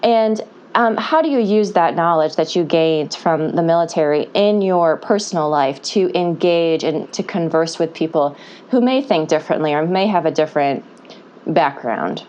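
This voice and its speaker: A woman's voice: low-pitched, moderate pace, darkish tone, highs cut off. A woman hosting a podcast interview, asking questions in a friendly, hesitant way with many ums and affirming sounds. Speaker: podcast host.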